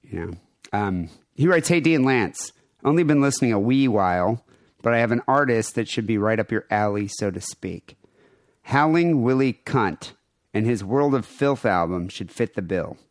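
A man's voice: lowish voice, expressive, normal speed, dry recording, studio quality. A man co-hosting a podcast, rambling casually with crude, irreverent jokes and show plugs. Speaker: podcast co-host.

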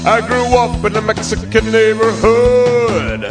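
A woman's voice: fairly high pitch, measured pace. A woman singing as a crude boastful forum troll. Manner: mock broken English, crude lyrics. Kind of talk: singing a comedy song. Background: loud country guitar music.

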